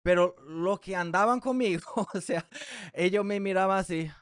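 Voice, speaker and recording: A man preaching a Bible teaching in Spanish, his second language, reading scripture slowly and deliberately with frequent fillers. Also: sits high, clear, resonant tone, dry recording, clean studio sound. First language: English.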